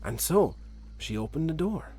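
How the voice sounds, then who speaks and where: A man's voice: fairly high pitch, big pitch swings, moderate pace, mellow, slightly rough. A man reading a fairy tale expressively as a children's storyteller.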